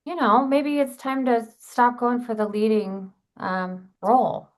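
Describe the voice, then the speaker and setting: A woman's voice: on the high side, fairly even intonation, a little slow, close to an everyday voice. A woman playing a condescending, self-important actress. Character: self-important actress, condescending toward her fellow actor. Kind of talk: improvised-sounding comic dialogue.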